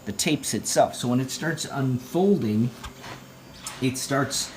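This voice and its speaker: A man giving a casual show-and-tell in a halting, rambling, enthusiastic way, recorded indoors at home on a handheld phone. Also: mid-range voice, expressive, darkish tone, a little hiss or hum, rough recording. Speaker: vlogger, tape collector. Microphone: handheld phone near the speaker.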